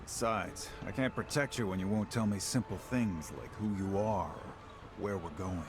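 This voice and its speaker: A man's voice: on the low side, normal speed, noisy background, lightly acted. A man playing a wary, terse swordsman bodyguard in a video game, guarded and dry. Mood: guarded, irritated. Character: wary, gruff swordsman bodyguard, adult male, on edge. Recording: music behind the voice.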